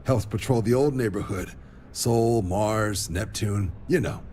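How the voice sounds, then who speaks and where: An actor playing an easygoing, wry military recruiter officer in a video game, talking in a casual, colloquial way. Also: male voice, fairly low pitch, measured pace, a little mellow, slight background noise, close to an everyday voice. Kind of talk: video game cutscene acting.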